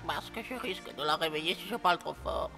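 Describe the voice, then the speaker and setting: A timid cartoon frog, voiced by a man, high-pitched and plaintive. He speaks with anxious hesitation, in a long reverb with music playing behind him. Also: quick pace, lightly acted. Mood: fretful. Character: timid, fretful frog who is an enchanted prince. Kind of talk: French cartoon dub.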